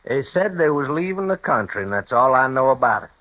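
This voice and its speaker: An actor playing an ornery, evasive frontier rancher with a sly, defensive, folksy way of talking, in a 1950s radio drama. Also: male voice, mid-range voice, big pitch swings, a little fast, lightly acted. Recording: dull old radio broadcast.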